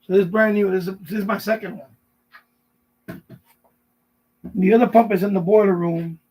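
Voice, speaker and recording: Middle-aged male streamer, high raspy voice, casual rambling chat with viewers, loops back over his words. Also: fairly even intonation, normal speed, dark tone, rough recording. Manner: rambling, repetitive, answering viewers' messages. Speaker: live stream host. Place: basement at home.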